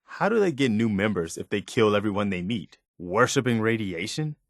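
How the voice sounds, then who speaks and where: A man's voice: lowish voice, expressive, normal speed, no echo, clean studio sound. A man voicing an earnest, principled militia soldier as a companion in a video game. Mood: grim resolve. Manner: earnest, plain-spoken soldier.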